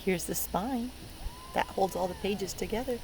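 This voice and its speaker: A woman reading a picture book to young children in a playful, expressive way, pausing to ask them questions, recorded outdoors. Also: medium pitch. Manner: playful, expressive, asks children questions, acts out sound words. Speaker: early learning teacher reading a picture book aloud. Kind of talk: interactive read-aloud for young children. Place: outdoors by a lake. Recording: steady outdoor ambient noise by water. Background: outdoor lakeside ambience.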